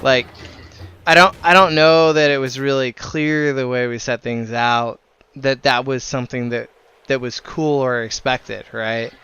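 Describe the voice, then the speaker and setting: A man's voice: medium pitch, fairly even intonation, measured pace, sharp tone, smooth, no room sound, dull audio. A man who leads a gaming group, talking casually while streaming a game, earnest and rambling, with some casual swearing.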